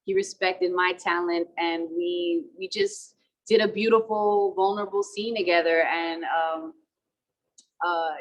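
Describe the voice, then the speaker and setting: A woman's voice: on the low side, lively intonation, measured pace, highs cut off. Give me a woman from the Dominican Republic chatting over a video call, switching between Spanish and English as she goes. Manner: chatty, mixes Spanish and English, many fillers and restarts. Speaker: actress interviewed about a film.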